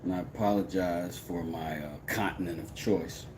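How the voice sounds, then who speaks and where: Brash low-voiced man streaming from his workshop. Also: normal speed, background noise. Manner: brash, trash-talking, then rambling and relaxed. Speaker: woodworking streamer. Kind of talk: casual live-stream chat.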